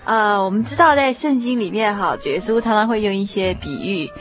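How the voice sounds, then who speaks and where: A woman's voice: mid-range voice, a little fast, soft background music. A woman, politely presenting a radio programme and interviewing a guest.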